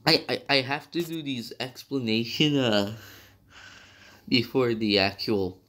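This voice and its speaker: Young man, slightly nasal, rambling, self-correcting, casual game review, medium pitch. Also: measured pace, a bit bright. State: partly sick, slightly nasal.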